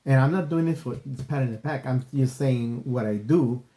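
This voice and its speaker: A man chatting earnestly on a podcast in a mellow, warm-toned voice, drifting from point to point and padding with "you know." His voice rings in an echoey room, picked up on a desk microphone. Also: medium pitch, moderate pace. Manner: earnest, rambling, repeats "you know" and "stuff like that". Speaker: podcast co-host. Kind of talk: casual chat.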